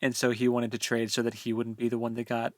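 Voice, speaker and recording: A man co-hosting a book discussion podcast, chatting casually and thinking aloud in a halting, colloquial way. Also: medium pitch, completely flat delivery, dry recording, studio quality. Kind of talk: casual chat about a novel.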